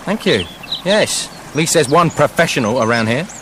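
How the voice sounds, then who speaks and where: A man's voice: on the high side, lively intonation, normal speed, a bit bright, a little hiss or hum. An actor playing a fussy, self-important writer narrating his fairy tale in a comic radio play, florid and testy.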